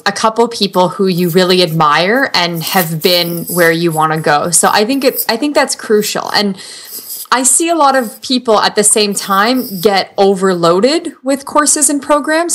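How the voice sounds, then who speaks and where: A woman of ordinary pitch, a guest on a podcast, gives earnest business advice. She sounds upbeat and chatty and often leans on "like". She grew up in Canada. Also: a bit bright. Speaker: interview guest, blogger. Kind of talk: conversational advice.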